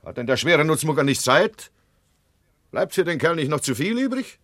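An actor playing an impetuous, possessive gentleman lover with an emphatic manner in a German radio play. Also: a little high, very animated, normal speed, no room sound, clean studio sound. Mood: agitated, indignant. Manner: emphatic, blustering, scornful.

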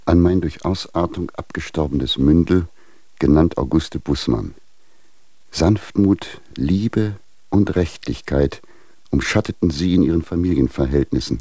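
Very deep, stern guardian. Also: male voice, measured pace, mellow, no room sound. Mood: cold formality. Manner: pompous, formal, moralizing. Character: stern, sanctimonious guardian denouncing his wayward ward. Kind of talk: German radio drama, formal letter monologue.

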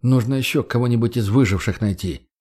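A man playing a self-assured, curt gang leader in an audiobook, speaking in a dry, calm, crude way. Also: mid-range voice, a little fast, close to an everyday voice. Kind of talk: audiobook character voice.

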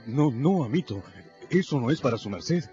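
A man's voice: medium pitch, expressive, normal speed, mellow, dull audio, soft background music, natural voice. An actor playing a fearful, deferential enslaved man in a radio drama, pleading and humble.

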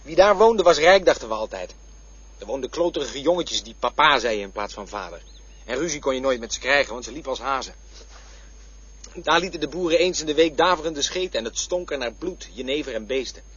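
A man telling vivid, earthy tales of his boyhood streets and river. His husky voice sits at middling pitch. The radio play recording has a dull, lo-fi sound. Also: animated, brisk, fairly bright tone. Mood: wistful. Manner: vivid storytelling. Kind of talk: radio drama acting.

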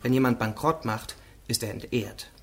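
An actor playing a self-assured, learned French court president in a radio play, explaining precisely and eagerly. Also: male voice, mid-range voice, moderate pace, slight background noise, lightly acted. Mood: instructive, eager. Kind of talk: radio drama acting.

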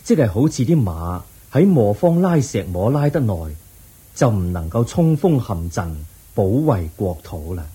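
A man's voice: fairly low pitch, very animated, exaggerated voice. A man playing the Buddha, patiently teaching an official in a Buddhist radio drama. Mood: serene. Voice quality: husky, dark, unhurried. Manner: gentle, patient preaching. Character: calm wise male Buddha teaching an official.

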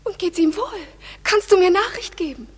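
A woman's voice: very high-pitched, moderate pace. An actress playing a passionate, distraught young woman in love in a radio drama, declaiming verse dramatically. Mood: desperate pleading. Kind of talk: classic stage-verse radio drama acting.

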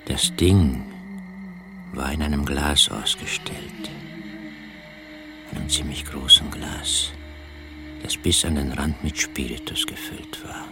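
Very deep, brooding storyteller. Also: male voice, vocal fry, heavily acted. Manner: hushed, deliberate, often sinking to a whisper. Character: brooding country man recounting an eerie tale. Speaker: first-person narrator. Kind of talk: dramatic radio play narration.

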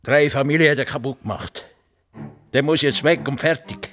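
An actor playing a blunt, terse rural farmer with a Swiss German accent in a radio drama. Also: male voice, medium pitch, normal speed, fairly bright tone, lightly acted. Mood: grimly determined. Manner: terse, plain-spoken, unemotional. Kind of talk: naturalistic radio drama acting.

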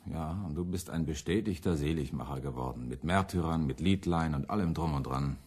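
A deep-voiced, husky radical preacher in a radio play. He is fiery and defiant, championing the hungry with accusatory, biting rhetoric. Scorn runs through his speech. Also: brisk, darkish tone. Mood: scornful, accusing. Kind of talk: German radio play acting.

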